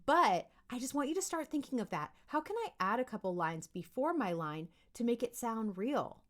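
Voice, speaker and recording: Female kids' acting coach, very lively intonation, encouraging, emphatic, informal tutorial delivery. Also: on the high side, normal speed. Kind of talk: informal instructional video aimed at kids.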